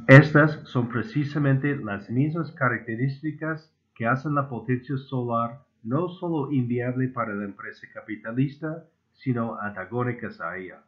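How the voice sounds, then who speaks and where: A man who speaks as a second-language speaker, reading a formal scripted lecture in a deliberate, slightly stumbling way. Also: mid-range voice. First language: not Spanish (Spanish is his second language). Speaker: amateur inventor presenting his own proposal. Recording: muffled, phone-like narrow band.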